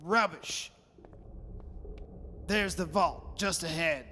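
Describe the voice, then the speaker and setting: In an echoey hall with some hiss, a blustery middle-aged father acted by a man speaks in a high voice. He is bossy and blunt, a scoffing skeptic in a radio play. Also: slow, pushed and bright. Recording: echoey hall, long reverb, background hiss.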